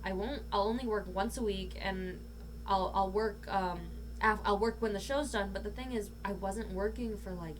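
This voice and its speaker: A young woman with a mid-pitched voice talks as a guest in a casual chat. She is frank and swears freely as she tells anecdotes. The recording has clear background noise and a hum. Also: moderate pace. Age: young adult. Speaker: podcast guest, voice actor and singer.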